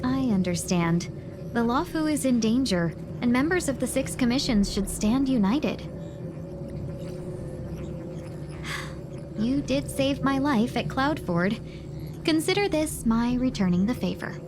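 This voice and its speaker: Woman as a courteous, high-voiced young envoy. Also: lively intonation, noisy background, lightly acted. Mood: earnest. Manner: polite, formal, measured. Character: courteous, silver-tongued young female merchant envoy and guide. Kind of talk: video game cutscene acting. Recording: music behind the voice. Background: music.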